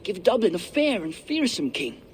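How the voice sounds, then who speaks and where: An actor playing a mocking, defiant seventeen-year-old prince in a video game. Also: male voice, high voice, big pitch swings, normal speed, slight background noise. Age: teens.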